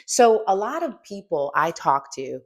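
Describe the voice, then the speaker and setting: A woman's voice: mid-range voice, sing-song, measured pace. A woman in her fifties giving a motivational coaching talk, emphatic and asking the listener direct questions. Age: 50s. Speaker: strength coach and host.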